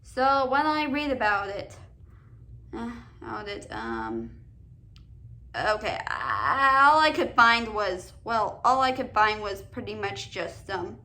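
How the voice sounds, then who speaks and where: A woman hosting a casual commentary video, reading summaries aloud in a halting way, often stumbling and repeating words. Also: medium pitch, very animated, bright, forceful tone. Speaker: host of a cartoon countdown video.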